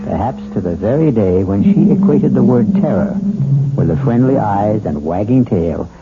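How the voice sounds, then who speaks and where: A man's voice: on the low side, lively intonation, normal speed, heavy background noise. A man narrating a 1970s radio mystery in a grave, ominous, scripted storytelling style. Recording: tinny old radio broadcast. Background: loud music.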